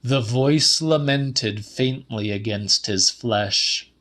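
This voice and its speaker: A man reads literary fiction as an audiobook narrator. His pace is slow and deliberate, and his pitch sits in the middle. The delivery is measured, with a slightly dramatic edge. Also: fairly bright tone.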